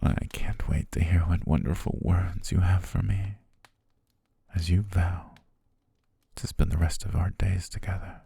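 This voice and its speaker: Male actor as devoted butler captor, very low gravelly voice, breathy near-whisper, coaxing, softly menacing, ASMR roleplay. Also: fairly even intonation, dry recording, exaggerated voice. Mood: dreamy devoted. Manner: tender, measured, coaxing, lingering pauses. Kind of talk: intimate ASMR roleplay, slow whispery romance acting. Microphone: close, dry studio microphone.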